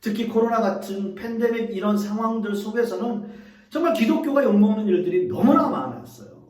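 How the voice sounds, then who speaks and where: A man's voice: high-pitched, a little fast, darkish tone, roomy sound, far from the mic, poor audio. A middle-aged male pastor preaching a sermon earnestly, speaking directly to the congregation and repeating key phrases.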